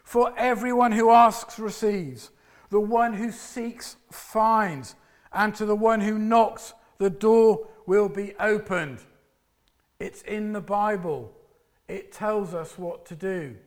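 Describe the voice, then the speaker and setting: High-voiced guy preaching, big booming voice, walks around, goes quiet when he prays. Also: fairly even intonation, takes it slowly. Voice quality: loud and booming. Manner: loud booming delivery, paces about; drops to soft hushed prayer voice. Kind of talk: sermon.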